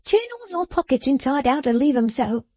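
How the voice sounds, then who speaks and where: A shrill, bossy girl, as a woman reader puts her on, snapping out her scolding in a high, lively voice. The tone is mellow rather than harsh, and the sound is dry and close. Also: fairly quick, no echo. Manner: sharp, snapping, emphatic. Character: sharp-tongued scolding girl dressmaker, bossy.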